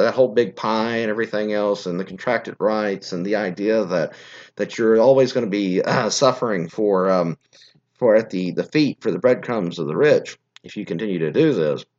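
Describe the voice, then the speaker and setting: Guy doing a political podcast, voice all scratchy and hoarse, rambles and restarts a lot, kinda muffled audio. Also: on the low side. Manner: opinionated, rambling with frequent restarts. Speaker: podcast host. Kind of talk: political commentary monologue.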